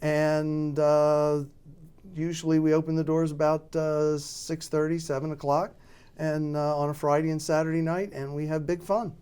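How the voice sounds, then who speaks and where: An American man being interviewed as a radio drama producer, talking casually and haltingly as he thinks aloud. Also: a little high, flat delivery, warm tone, smooth.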